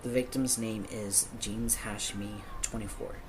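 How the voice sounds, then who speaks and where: Over clearly noisy ambience comes a man acting as a small-town police officer with a lowish voice. He is nervous and awkward, hesitant in how he puts things and quick to apologize, in the style of a one-sided audio roleplay. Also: fairly even intonation, close to an everyday voice. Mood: matter-of-fact, somber.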